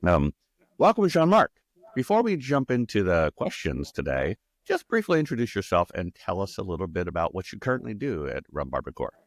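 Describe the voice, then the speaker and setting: A male host with a low voice that swings widely and brightly as he asks questions. He stammers now and then but keeps an upbeat tone, and the sound is close and dry. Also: wildly swooping pitch, fairly quick, dry recording. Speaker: interview host. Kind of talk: interviewing a guest.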